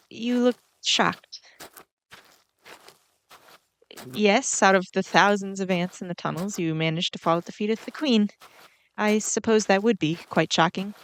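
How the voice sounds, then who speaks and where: A woman voicing a kindly, flustered giant ant queen in a roleplay audio drama, speaking gently and hesitantly to the listener. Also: medium pitch, lightly acted. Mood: modest, explaining. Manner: gentle, hesitant, rambling with small nervous laughs.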